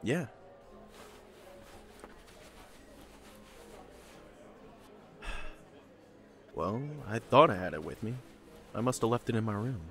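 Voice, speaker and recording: A man playing a calm, courteous young castle guard in an audio drama, casual and a little hesitant. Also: lowish voice, vocal fry. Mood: casual, awkward.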